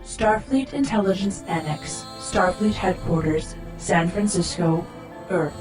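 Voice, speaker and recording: A woman voicing a flat, precise starship computer. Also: fairly low pitch, measured pace, close to an everyday voice. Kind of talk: fan audio drama acting. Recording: roomy with music underneath.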